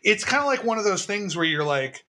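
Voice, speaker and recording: A man with a bright, fairly high voice chats about a film, quick and hedging, often laughing at his own points. His tone leans forceful and crisp. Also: very animated. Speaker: podcast co-host. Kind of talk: casual film discussion.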